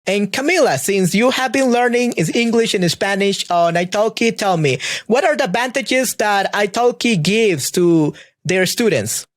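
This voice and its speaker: High-voiced male podcast host. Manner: asks long rambling questions, quick affirmations. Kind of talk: casual interview.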